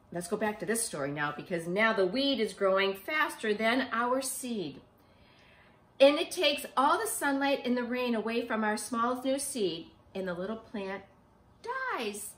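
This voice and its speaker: Middle-aged female preschool teacher, very lively storybook read-aloud, emphatic and child-directed, in a roomy living room. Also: high voice. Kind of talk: picture-book read-aloud for young children with simple questions. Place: small living room, indoors on a sofa. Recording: roomy home recording.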